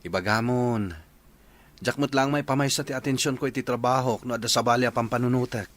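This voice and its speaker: Mid-pitched concerned younger brother acted by a man. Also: fairly even intonation, fairly quick, fairly bright tone, natural voice. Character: concerned working younger brother, mild and businesslike. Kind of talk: audio drama acting.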